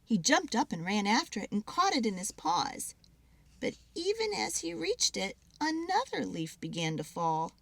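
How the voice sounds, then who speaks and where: A woman giving an expressive, dramatic read-aloud of a children's story. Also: a little high, moderate pace, gravelly, no room sound.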